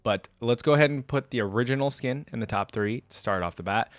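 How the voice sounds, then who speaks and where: Casual low-voiced man, dry close sound. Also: quick pace, no room sound. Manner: informal, chatty, thinks aloud. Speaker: host of a reaction video. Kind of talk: casual reaction commentary.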